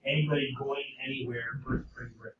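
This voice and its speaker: Hoarse male teacher in a roomy classroom. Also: mid-range voice, level tone, fairly quick, a little hiss or hum. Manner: frequent "okay?" check-ins with students. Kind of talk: classroom lecture. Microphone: camera at a distance. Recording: roomy, distant, dull classroom sound.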